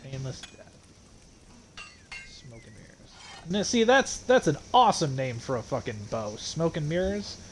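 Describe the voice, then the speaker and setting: A man's voice: fairly high pitch, big pitch swings, a little slow, background noise. A male streamer chatting casually over gameplay, swearing freely and laughing often.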